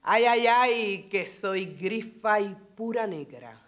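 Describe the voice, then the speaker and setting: A woman in her forties with a Puerto Rican accent and Spanish as her first language, reciting poetry dramatically and emphatically with deliberate pauses. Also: mid-range voice, lively intonation, fairly bright tone. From Puerto Rico. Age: 40s. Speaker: bilingual special education teacher.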